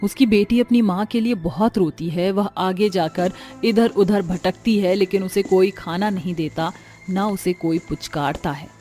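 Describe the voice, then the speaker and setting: A woman's voice: mid-range voice, a little slow, dark tone, faint music behind. A woman reading scripted dramatic narration for a cartoon horror story.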